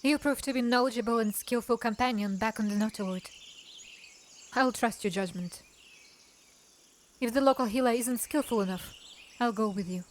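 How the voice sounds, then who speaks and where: Drow assassin, female, young, played by a woman: wary, terse, slightly high voice, fantasy film. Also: moderate pace, pauses often, a little hiss or hum, natural voice. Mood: cool, resolute. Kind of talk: cinematic fantasy voice acting.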